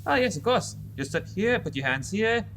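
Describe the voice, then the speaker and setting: A man's voice: sits high, very animated, normal speed, fairly bright tone, a little hiss or hum, full character voice. A man playing a timid, anxious scientist in an amateur audio drama, with a hesitant, fretful manner. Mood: helpful, hesitant.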